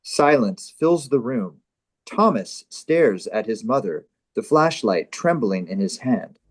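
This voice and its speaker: A man's voice: lowish voice, sing-song, takes it slowly, slightly rough. A man reading stage directions as scripted narration at a live comedy table read.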